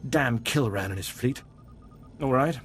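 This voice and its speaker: A man playing a dutiful, embattled Imperial starship captain in a video game, with crisp military authority. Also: mid-range voice, measured pace, slight background noise, close to an everyday voice. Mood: wary, resigned. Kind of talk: scripted game voice acting.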